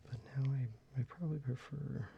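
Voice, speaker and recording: Whispering man with a gravelly, dark voice. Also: mid-range voice, normal speed. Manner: whispered, relaxed, casual with swearing. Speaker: ASMR creator. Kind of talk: soft-spoken ASMR show-and-tell. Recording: slightly dull, faint background noise.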